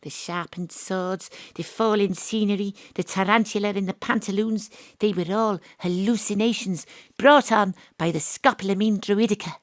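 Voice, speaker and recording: An actress playing a sharp, knowing female private detective in an audio drama, confident and probing as she lays out her case. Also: medium pitch, normal speed, few pauses, no echo, clean studio sound, lightly acted. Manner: confident, probing, coolly persuasive. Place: home studio.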